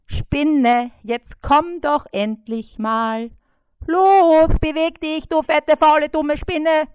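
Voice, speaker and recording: Woman doing a high, shrill, whiny storybook fly, male, nagging and melodramatic. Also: extremely slow, darkish tone, dry recording, clean studio sound. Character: whiny, demanding male fly caught in a web.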